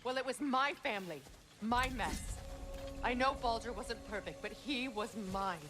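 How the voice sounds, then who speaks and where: A woman's voice: a little high, a little slow, music in the background, lightly acted. A woman playing a proud, grieving, vengeful goddess in a video game, with a guarded, cutting delivery. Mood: bitter, defensive. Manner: guarded, cutting, dryly sardonic. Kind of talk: cinematic video game acting.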